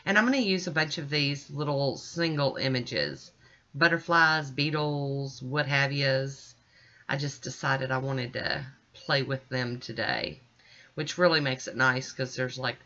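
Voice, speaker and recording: Bright-voiced American woman, casual tutorial voice-over. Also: rumbling low, expressive, unhurried, dull audio. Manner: chatty, folksy, informal. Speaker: nail art vlogger.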